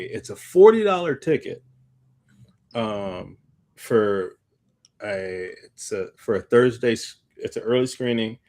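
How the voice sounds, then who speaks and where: A man with a fairly low voice talks casually as a podcast co-host. He feels his way through sentences in a loose, slangy manner, often circling back as he goes. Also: a little mellow. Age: adult, parent of young child. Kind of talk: casual chat.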